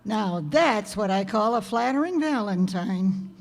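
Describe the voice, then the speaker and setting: A woman's voice: medium pitch, expressive, unhurried. A woman playing a wry, wisecracking schoolteacher with dry, sardonic delivery in old-time radio comedy style. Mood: dry amusement. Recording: reverberant hall stage recording.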